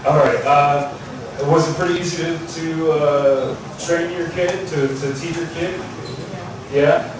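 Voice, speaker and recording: A man giving a technical talk at a conference, loud and asking the audience questions. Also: on the high side, fairly even intonation, slow pace, noisy background. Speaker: conference speaker. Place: large conference hall. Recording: echoey hall, mic from far off.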